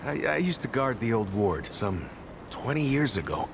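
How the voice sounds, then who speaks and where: Bashful game mayor, earnest, halting and stammering, lively intonation, clearly noisy background. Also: male voice, medium pitch. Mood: modest. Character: earnest, bashful male mayor of a survivor settlement. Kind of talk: naturalistic video game dialogue acting.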